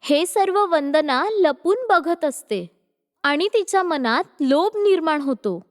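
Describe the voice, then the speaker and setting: A woman's voice: sits high, animated, slow, big-room sound. A woman narrating a scripted story as a storyteller.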